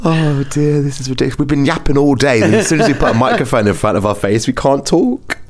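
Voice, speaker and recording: A man's voice: mid-range voice, lively intonation, fast talker. A man hosting a podcast in a casual, chatty interview style, giggly and often repeating phrases back. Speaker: podcast host; pantomime performer.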